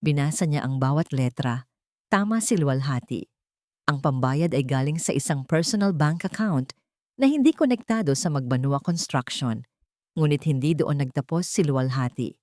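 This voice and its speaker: Woman storyteller reading a scripted drama, lowish voice, very dry close sound. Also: expressive, moderate pace, warm tone, no room sound. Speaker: story narrator.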